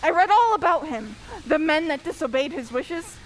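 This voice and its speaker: A woman playing a nervous, superstitious young male helper in a radio play, jittery and excitable. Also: sits high, normal speed, rough recording. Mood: anxious. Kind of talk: old-time radio drama acting. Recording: faint background noise.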